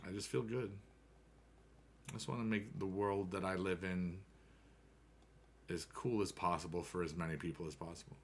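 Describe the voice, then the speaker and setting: A man's voice: low-pitched, fairly even intonation, normal speed, creaky, long pauses, a little hiss or hum. An American man in his thirties chatting casually with viewers on a game stream, rambling and upbeat with playful rhymes.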